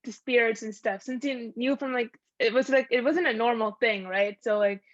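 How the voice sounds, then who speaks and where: A woman's voice: on the high side, moderate pace, dry recording. A woman co-hosting an interview show, asking questions casually with lots of "like" fillers. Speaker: co-host. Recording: dull, dry lo-fi sound.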